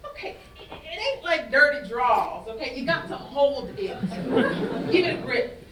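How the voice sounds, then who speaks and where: Actress doing tough cocky lady w a gun, high n really animated, teasing, stage play, roomy far mic. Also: moderate pace, some chatter behind. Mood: coaxing, commanding. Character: bold, swaggering gun-toting Southern woman, teasing and tough. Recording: roomy, distant stage recording with faint noise.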